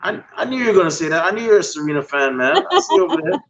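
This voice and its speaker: A man's voice: sits high, rapid-fire, vocal fry, highs cut off. A man from Guyana with a Guyanese accent, hosting a casual wrestling podcast and asking guests for their picks. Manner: relaxed, laid-back, uses y'all. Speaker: podcast host. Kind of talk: casual chat.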